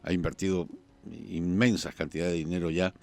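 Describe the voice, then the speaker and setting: Hombre peruano de voz algo grave. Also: male voice, low voice, flat delivery, a little mellow, faint music behind. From Peru. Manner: measured, thinking aloud with pauses and fillers. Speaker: journalist guest. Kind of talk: political talk-show discussion.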